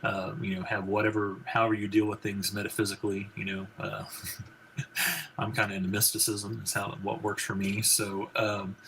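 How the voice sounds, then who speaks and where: Gravelly baritone man, bright tone. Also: completely flat delivery, moderate pace, a little hiss or hum, poor audio. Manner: rambling, many fillers and restarts. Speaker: guest, physician and country singer. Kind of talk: casual interview conversation.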